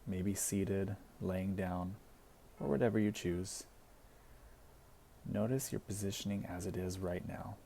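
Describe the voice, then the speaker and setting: A man with a deep, mellow voice guides a meditation. He gives soothing, gentle instructions and leaves deliberate pauses between phrases. His tone has a noticeable fry, and there is faint noise in the recording. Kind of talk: guided body scan meditation.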